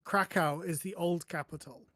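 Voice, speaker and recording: A man with a somewhat high-pitched voice gives casual explanations as a podcast panellist. He stops and restarts, correcting himself along the way. The sound is very dry, with no room to it. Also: steady tone, a bit bright, dry recording. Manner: halting, self-correcting.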